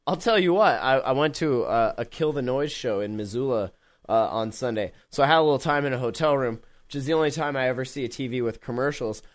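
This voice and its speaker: A man hosting a casual tech podcast, joking around and laughing often between quick remarks. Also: medium pitch. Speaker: podcast host.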